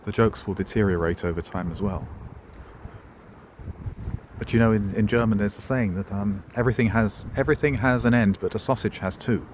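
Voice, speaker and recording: A man's voice: on the low side, a little fast, slight background noise. Wry rambling middle-aged man. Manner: wry, digressive, self-mocking asides. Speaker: travel vlogger. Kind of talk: rambling walking-tour commentary. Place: outdoors in a town centre street.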